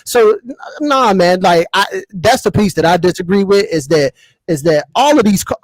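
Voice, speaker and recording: An American man with a slightly high voice, recorded close and dry. He talks casually and slangily as a podcast panelist, often tagging his points with little questions. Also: expressive, normal speed, no room sound, poor audio. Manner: slangy, punctuated with checking tag questions.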